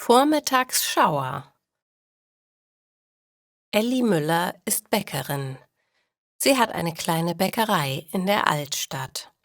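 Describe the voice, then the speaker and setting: A woman narrating scripted stories for language learners, reading in a deliberate, clearly articulated manner. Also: on the low side, dry recording, studio quality.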